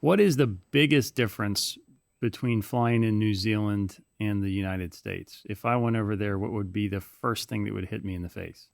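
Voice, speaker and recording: A man hosting a podcast, asking a guest brisk, friendly interview questions. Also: on the low side, gravelly, no echo, clean studio sound. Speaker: podcast host. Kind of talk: interview questions, rapid-fire segment.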